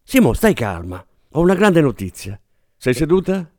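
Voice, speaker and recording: A man voicing a brisk, scheming female showbiz agent who talks in a coaxing way. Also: a little high, very animated, darkish tone, no echo, studio quality.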